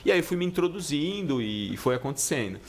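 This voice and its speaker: A man's voice: on the high side, a little fast. A middle-aged man born in Italy chats casually on a podcast, telling his stories at an easy pace. He often restarts his sentences and drops in slang. A faint hiss sits behind his voice. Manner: relaxed storytelling with slang and many restarts. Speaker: guest pizza chef.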